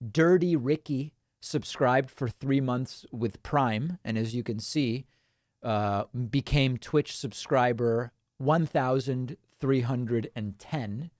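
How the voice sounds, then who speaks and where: A man whose first language is Spanish, born in Argentina, hosts a live political stream in an offhand, chatty way, reading out viewer messages and tossing in quick replies. His voice sits at an ordinary middle pitch for a man. The sound is dry and close, with no room echo. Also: a little mellow. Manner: casual, offhand, frequent ums and uhs. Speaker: political commentary host. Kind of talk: livestream chat, reading viewer comments.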